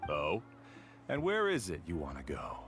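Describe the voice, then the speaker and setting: An actor playing a proud, aloof, mercenary expert guide in an anime video game, haughty and curt. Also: male voice, low voice, wildly swooping pitch, moderate pace, fairly bright tone, soft background music, full character voice. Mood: haughty, challenging.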